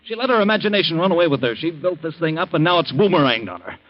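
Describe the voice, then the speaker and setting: An actor playing an earnest, aggrieved husband in a theatrical 1940s radio drama. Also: male voice, fairly high pitch, big pitch swings, racing. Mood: indignant, scornful. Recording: muffled 1940s radio recording.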